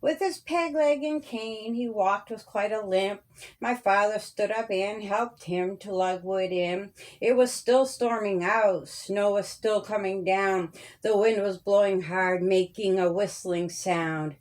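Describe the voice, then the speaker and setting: A woman's voice: medium pitch, takes it slowly. A woman reading her own children's story aloud in rhyming verse, with a sing-song cadence.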